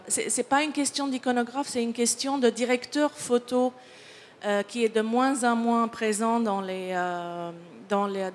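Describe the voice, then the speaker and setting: A woman's voice: medium pitch, slight background noise. A woman who is not a native French speaker, talking as a picture researcher on a panel, hesitant with many fillers and restarts. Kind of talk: panel discussion. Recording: long hall reverb.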